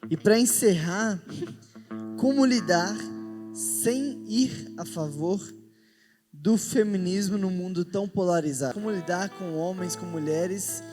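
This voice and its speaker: A woman moderates a panel, asking her questions in a measured way. Her voice is fairly low. Music plays quietly beneath her. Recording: music playing underneath.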